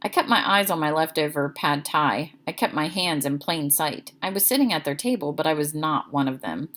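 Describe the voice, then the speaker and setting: A woman's voice: low voice, expressive, moderate pace, fairly bright tone. A woman reading a children's chapter book aloud as narrator, expressively.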